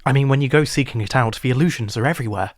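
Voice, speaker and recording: A man with an English RP accent narrating a scripted video essay in a wry, sarcastic, animated way. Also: mid-range voice, racing, slight background noise.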